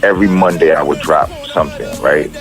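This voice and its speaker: A middle-aged man with a deep voice, a rapper talking casually in an interview, rambling and profane, with many restarts. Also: steady tone, a little fast, soft background music.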